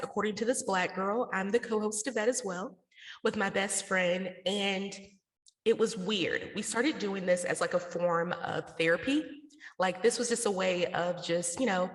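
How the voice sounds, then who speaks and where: A woman's voice: medium pitch, a bit bright. A woman answering interview questions conversationally, candid and casual, explaining herself with lots of "like". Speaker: interview guest, podcaster and radio station founder. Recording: echoey room with long reverb.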